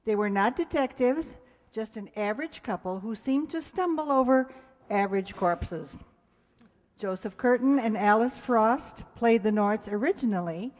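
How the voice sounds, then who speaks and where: Fairly high-voiced woman presenting in a hall. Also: darkish tone. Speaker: presenter of a radio players' stage show. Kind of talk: scripted live introduction to an audience. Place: hall with live audience. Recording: echoey hall.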